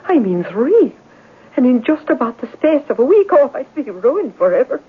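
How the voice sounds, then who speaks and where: A woman's voice: high voice, sing-song, normal speed, slightly rough, full character voice. An actress playing a worried, stubborn boarding-house landlady in a 1970s radio drama, speaking in a hesitant, earnest way. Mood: fretful. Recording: muffled, lo-fi old radio recording.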